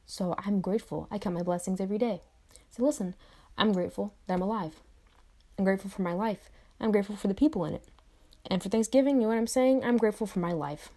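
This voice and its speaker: Mellow-voiced woman giving a motivational talk. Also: mid-range voice, fast talker. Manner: reflective, repeats phrases, frequent "right?" asides. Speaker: vlogger. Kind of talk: motivational monologue to camera.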